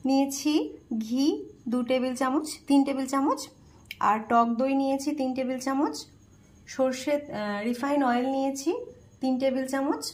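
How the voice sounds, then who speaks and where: A woman with a Bengali accent who hosts a cooking channel, calmly talking through a recipe step by step in her home kitchen. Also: sits high, moderate pace, pauses often. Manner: calm, plain instructional tone, listing ingredients.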